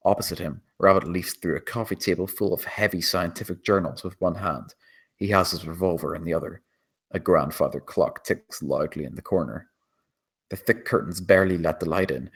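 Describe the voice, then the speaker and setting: A man's voice: low-pitched, a bit bright, slightly rough. A man reading scripted screenplay action as the narrator.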